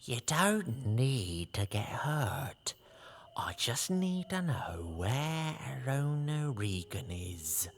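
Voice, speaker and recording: An actor playing a grimy thug who makes quiet threats at knifepoint. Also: male voice, medium pitch, very animated, very slow, heavily acted. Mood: menacing.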